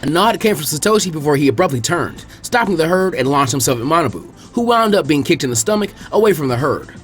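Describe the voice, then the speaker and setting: A man reading fanfiction aloud in an expressive storybook narration. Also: a little high, fast talker, faint music behind. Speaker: fanfiction reader.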